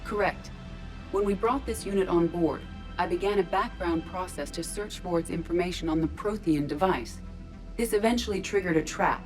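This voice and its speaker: A woman's voice: on the low side, normal speed, darkish tone, soft background music, close to an everyday voice. A woman voicing a ship's artificial intelligence in a robot body for a video game, formal, precise and emotionless. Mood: calm, analytical. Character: female ship artificial intelligence in a robot body, coolly logical.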